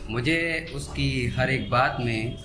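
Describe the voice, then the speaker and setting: A man performing a live poetry recital, repeating couplets with deliberate pauses and speaking to listeners between verses. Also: mid-range voice. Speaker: poet performing on stage. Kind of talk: live Hindi-Urdu poetry recital with spoken asides. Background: soft instrumental background music.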